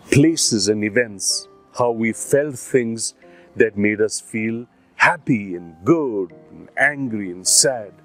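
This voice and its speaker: A man with an Indian accent hosting a series for children, introducing it in an encouraging way with deliberate phrasing. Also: fairly low pitch. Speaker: series host. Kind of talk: scripted introduction addressed to children. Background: plucked guitar music.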